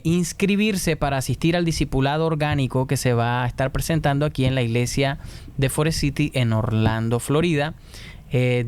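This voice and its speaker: A man's voice: mid-range voice, clean tone. Chatty man hosting a church show. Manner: friendly, chatty, frequent hesitations. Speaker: host. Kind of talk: casual church talk show. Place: church studio.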